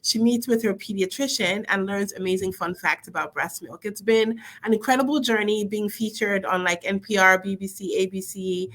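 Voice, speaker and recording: A woman's voice: medium pitch, normal speed, few pauses. A woman from Nigeria, a pediatrician talking animatedly as a guest on a casual live interview over a video call. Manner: animated, laughing, expressive storyteller.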